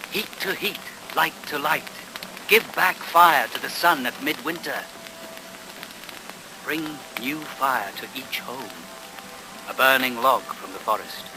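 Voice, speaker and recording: A man's voice: mid-range voice, lightly acted. An actor, a man, playing a solemn hunter-shaman in a radio play, with a reverent, declamatory, incantatory delivery. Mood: fervent invocation. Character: solemn male shaman of a reindeer-hunting people. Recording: noisy old radio play recording.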